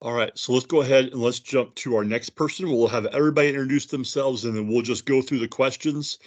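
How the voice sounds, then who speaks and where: A man hosting an online panel by video call, unhurried and loose with his fillers. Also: medium pitch. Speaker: panel moderator.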